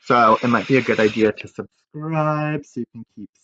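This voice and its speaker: A man giving an animated, expressive read-aloud of a children's picture book, with informal asides. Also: medium pitch, normal speed, dry recording, highs cut off.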